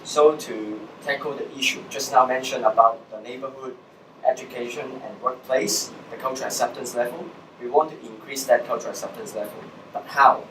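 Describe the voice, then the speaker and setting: A young man from Hong Kong, speaking with a Hong Kong accent, gives a talk in an explanatory way. His voice sits at a middling pitch. It comes from some distance in an echoey room, with faint noise behind it. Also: a little fast, fairly bright tone. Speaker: social entrepreneur giving a guest talk. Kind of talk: public presentation to students.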